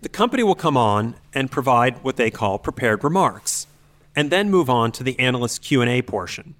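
A man speaking as an expert podcast host, explaining things in an articulate, analytical and measured way. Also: mid-range voice, sing-song, fairly bright tone.

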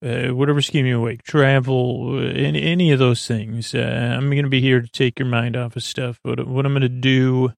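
A man hosting a bedtime podcast in a creaky, soothing voice, rambling through improvised tangents with mumbles and stumbles over his words. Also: mid-range voice, level tone, moderate pace, hardly any pauses, no room sound, studio quality. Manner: soothing, lulling delivery. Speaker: podcast host.

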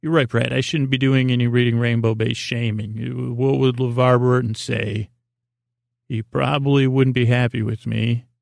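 A man hosting a sleep podcast with a rambling, improvised monologue, halting and circling back on himself in an unhurried, lulling way. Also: mid-range voice, fairly even intonation, gravelly, no echo, studio quality. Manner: halting, meandering, self-interrupting, with trailing repetitions. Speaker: podcast host.

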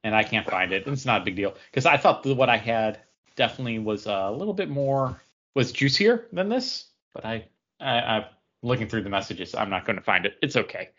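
Mid-pitched man chatting, slightly muffled. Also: animated, a little fast, a bit bright. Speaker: podcast co-host. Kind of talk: casual chat.

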